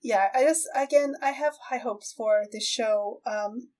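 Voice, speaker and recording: A woman hosting a podcast in casual conversation, thinking aloud and softening her opinions as she goes. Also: fairly high pitch, unhurried. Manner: tentative, hedging, thinking aloud. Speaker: podcast host. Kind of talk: casual chat about anime.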